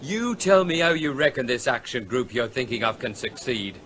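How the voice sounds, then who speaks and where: A blunt, sceptical seafront trader in an old radio drama. His voice is bright and forceful. He talks straight and does not trust what he hears. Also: medium pitch, big pitch swings, moderate pace. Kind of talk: 1970s radio drama acting.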